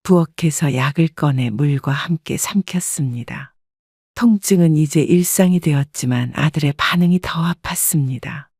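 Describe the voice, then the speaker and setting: A woman narrating a first-person life story in a calm, measured, reflective way. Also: low voice, no room sound, studio quality.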